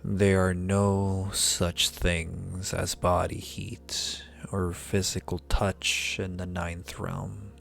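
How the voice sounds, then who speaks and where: A man's voice: sits low, flat delivery, very slow, vocal fry, few pauses, a bit bright, slight background noise, exaggerated voice. A man playing an ancient, powerful dragon in an ASMR roleplay, speaking formally in an intimate, teasing, condescending and possessive manner. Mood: wistful, pensive. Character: ancient male dragon familiar, powerful, possessive, amused, seductive.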